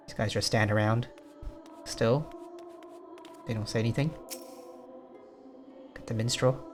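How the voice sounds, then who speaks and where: A man's voice: mid-range voice, fairly even intonation, measured pace. A man giving casual, rambling let's play commentary in an understated way, thinking aloud as he goes. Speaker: gaming video host. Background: music behind the voice.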